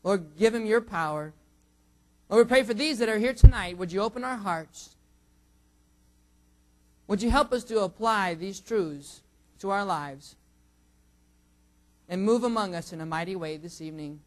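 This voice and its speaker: A high-voiced younger man preaching from the pulpit. He teases himself and the room with food jokes, then leans hard on key words in sudden loud bursts, and slips into a prayer. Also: normal speed, long pauses, rough recording. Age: under 45. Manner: jokey, self-teasing, surging loud emphasis. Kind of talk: sermon with prayer.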